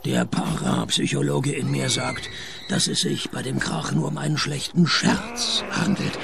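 An actor playing a cynical private detective, narrating with dry, sardonic wit. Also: male voice, mid-range voice, measured pace, creaky, noisy background, full character voice. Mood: deadpan. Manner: deadpan, sardonic. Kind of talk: radio play narration.

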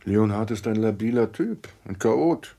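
A man's voice: lowish voice, expressive, a little slow, warm tone. An actor playing a sceptical, wry man in his thirties, an amateur investigator in a German radio drama. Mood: wry, dismissive. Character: sceptical, wry younger brother, an architect turned amateur investigator.

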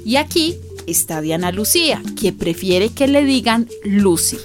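Colombian woman, folksy storyteller over clear music. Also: medium pitch, sing-song, measured pace. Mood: fond. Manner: affectionate, colloquial storytelling. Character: fond, folksy woman neighbour telling a friend's life story. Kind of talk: radio drama narration. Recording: music bed behind.

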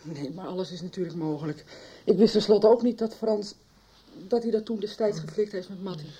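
A woman's voice: medium pitch, a little fast, dark tone, husky, close to an everyday voice. A woman playing a sober, serious woman in a Dutch radio soap, speaking in a subdued way.

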